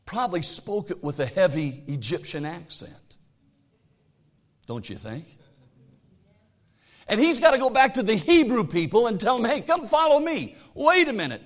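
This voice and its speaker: Middle-aged pastor guy preaching, slightly high voice, gets loud then goes real quiet. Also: big pitch swings, normal speed, long pauses. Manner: preachy, swings from loud exhortation to low quiet asides; reads scripture aloud in a raised voice. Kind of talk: sermon.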